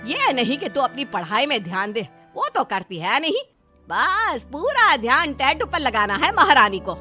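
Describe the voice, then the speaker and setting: A woman voicing a bossy but caring mother-in-law in an animated moral story, nagging and sarcastic. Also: sits high, unhurried, soft background music, exaggerated voice. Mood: scolding.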